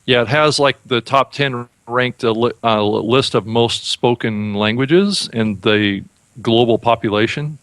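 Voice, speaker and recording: A man hosting a podcast, talking in a casual, halting way and repeating words as he thinks. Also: mid-range voice, fairly bright tone, dry recording. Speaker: podcast host. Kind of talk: casual chat.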